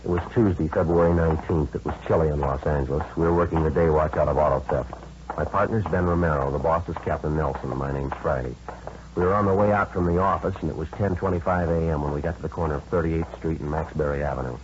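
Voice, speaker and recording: An actor playing a no-nonsense police sergeant in a 1950s radio drama, deadpan, clipped and polite. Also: male voice, a bass voice, fast talker. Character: businesslike, no-nonsense male police detective sergeant. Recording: muffled, noisy old radio recording.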